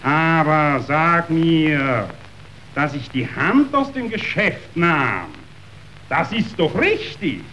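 An actor playing a ruthless yet sentimental meat magnate in a verse radio drama, declamatory, wheedling and self-pitying. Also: male voice, a little high, big pitch swings, slow, bright, forceful tone, exaggerated voice. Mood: pleading, self-justifying. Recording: dull radio recording, faint noise.

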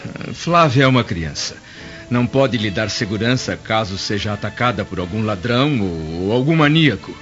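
An actor with a Brazilian accent playing a stern, protective Roman senator in a radio drama, speaking with formal, measured diction. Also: male voice, fairly low pitch, expressive, a bit bright. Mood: stern, worried. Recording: dull lo-fi radio drama with music.

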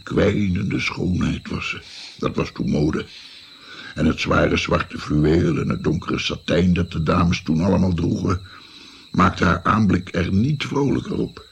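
An actor playing a wry old grandfather recounting his past in a radio drama, with a gravelly voice. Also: low voice, a little hiss or hum, exaggerated voice. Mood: musing.

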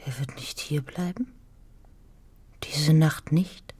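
An actress playing a bitter, wounded, possessive farm wife in a literary radio drama, with intense delivery. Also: female voice, deep voice, takes it slowly, darkish tone, breathy edge, exaggerated voice. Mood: wounded, insistent.

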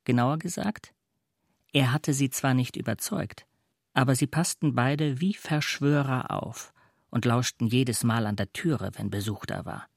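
A man narrates literary prose in a radio production. His mid-range voice sits in a very dry recording, and his phrasing is measured and precise. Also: mid-range voice, creaky, no room sound.

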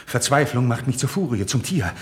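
An actor in a 1950s German radio drama playing a noble, self-sacrificing friend, speaking verse in a solemn, impassioned and declamatory way. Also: male voice, medium pitch, sing-song, a little fast, lightly acted. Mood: anguished, impassioned.

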